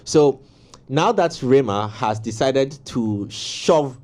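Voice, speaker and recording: A man's voice: mid-range voice, expressive, takes it slowly, darkish tone. A man on a studio music panel, reflecting on earlier predictions and hedging with frequent "uh" and "um". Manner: reflective, hesitant with many "uh" and "um" fillers. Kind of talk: casual studio panel discussion.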